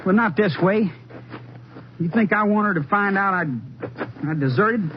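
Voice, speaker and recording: A man's voice: high voice, normal speed, natural voice. A man playing a guilty, stubborn young army deserter in an old-time radio drama, hesitant and plaintive. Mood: guilty, regretful. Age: young adult. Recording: dull old radio recording, faint hiss.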